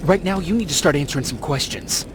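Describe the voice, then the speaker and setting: A man's voice: animated, quick pace, lightly acted. An actor playing a determined male resistance soldier in a video game, terse and urgent in a naturalistic style. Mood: demanding. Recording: rumbling ambient noise behind.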